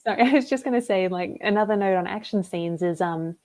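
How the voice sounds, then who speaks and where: A woman with an Australian accent, a debut novelist talking about her book in a relaxed, chatty live interview over a video call. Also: medium pitch, fairly quick. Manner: chatty and relaxed, with frequent "like" and "um" fillers.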